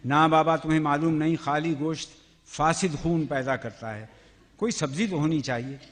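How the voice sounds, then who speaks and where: A man's voice: on the high side, normal speed, lightly acted. A man reading a comic story, voicing a fussy, irritable husband who complains about his meals in exasperation. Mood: objecting. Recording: long hall reverb.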